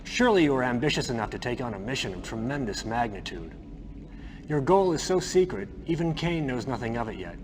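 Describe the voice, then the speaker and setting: A man acts out an ambitious villain's lieutenant in a game cutscene. He is smooth and flattering, with a very animated pitch that rises and falls as he schemes conspiratorially. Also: fairly quick, natural voice, low-quality recording. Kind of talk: video game cutscene acting.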